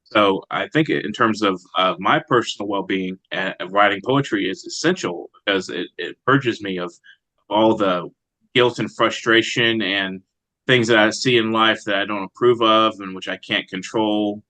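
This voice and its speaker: Male interviewee, poet. Lowish register, crisp bright tone, close dry recording, reflective delivery. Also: moderate pace, no echo, rough recording. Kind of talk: conversational interview with brief poem reading.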